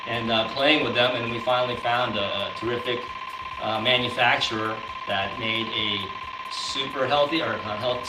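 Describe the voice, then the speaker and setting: A man presents a product casually on a live stream. He speaks haltingly and informally, repeating words as he goes, in a medium-pitched voice. The sound is roomy, with obvious noise behind him. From born in the Philippines, grew up in the US. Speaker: guest business founder demonstrating a product.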